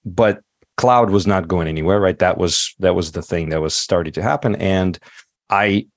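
A middle-aged man whose first language is not English talks through his answers in an easygoing way, stopping often on fillers and restarts. His voice sits very low. The sound is dry and close, with no room echo. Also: normal speed. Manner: thoughtful, many fillers and restarts. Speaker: podcast guest. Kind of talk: casual interview talk.

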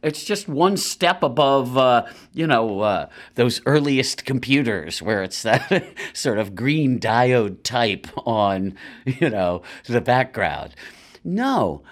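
A middle-aged man hosting a talk show, telling stories casually with lots of asides and restarts. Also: medium pitch. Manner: animated, rambling, many asides and restarts. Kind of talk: casual conversational storytelling.

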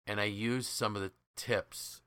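A man's voice: lowish voice, steady tone, measured pace, fairly bright tone, clear, resonant tone, no room sound. A man hosting a podcast, telling stories conversationally in an enthusiastic, emphatic way and acting out quoted dialogue. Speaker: podcast host and leadership trainer.

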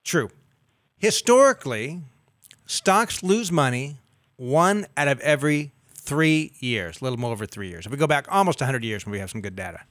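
Measured fifty-something male co-host, dry studio. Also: mid-range voice, animated, fairly bright tone, dry recording. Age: 50s. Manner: measured, explains with figures and asides. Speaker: radio show co-host. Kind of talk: call-in advice talk.

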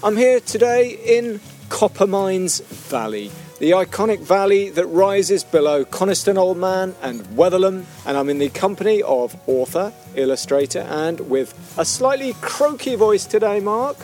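A man's voice: sits high, animated, moderate pace, darkish tone, faint music behind. A man hosting a walking podcast outdoors in a mountain valley, setting the scene in descriptive terms. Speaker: podcast host.

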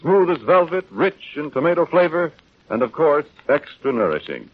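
A male announcer from the golden age of radio speaks in a fairly high, very melodic voice. He sells courteously and with polish, in scripted sentences. The sound is the dulled band of a vintage broadcast. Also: very animated, moderate pace, phone-quality sound. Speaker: radio announcer and sponsor pitchman.

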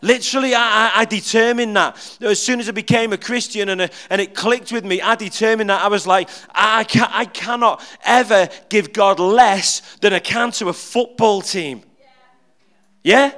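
A middle-aged man preaching an informal, humorous sermon in church, chatty and animated, restarting words and joking with the congregation. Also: high voice, moderate pace. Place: church, speaking at the front.

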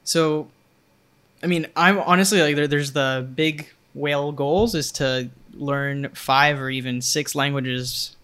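A young adult man whose first language is Spanish, talking in a relaxed, thoughtful way and explaining with examples. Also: on the high side, slow, clear, resonant tone. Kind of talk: casual conversation.

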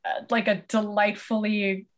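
A woman's voice: mid-range voice, level tone, measured pace. A woman co-hosting a casual comedy podcast, talking in a chatty, informal storytelling way. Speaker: podcast co-host.

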